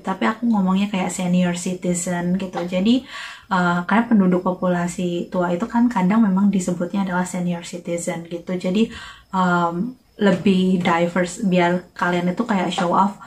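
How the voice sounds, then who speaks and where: A woman's voice: fairly low pitch, fairly even intonation, normal speed, a little mellow. A young woman from Indonesia giving casual exam-prep tips in a vlog, chatty, with many fillers and English phrases mixed in. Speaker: vlogger.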